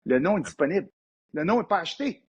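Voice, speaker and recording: A man in his fifties with a Québécois French accent and a husky, slightly raspy voice set a bit high. He talks as a guest in a relaxed interview and tells animated business anecdotes, often restarting his phrases. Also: fast, muffled recording. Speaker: entrepreneur guest. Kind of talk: storytelling in a casual interview.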